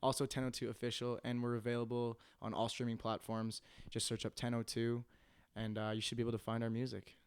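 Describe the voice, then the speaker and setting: A young man with a Canadian accent is a band member guesting on a podcast. He explains details quickly and clearly. His voice is smooth. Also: medium pitch, monotone. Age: young adult. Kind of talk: casual interview.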